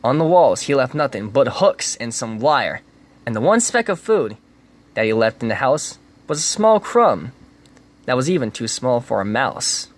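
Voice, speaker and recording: Man, dramatic storybook reader, rhythmic rhyming delivery, mid-pitched voice. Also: very animated, normal speed.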